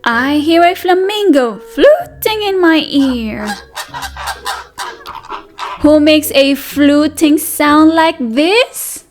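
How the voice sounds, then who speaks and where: A woman's voice: sits high, animated, extremely slow, over music. A woman reading aloud as a preschool teacher, addressing small children in a sing-song manner with questions and repetition. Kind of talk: interactive children's read-aloud lesson.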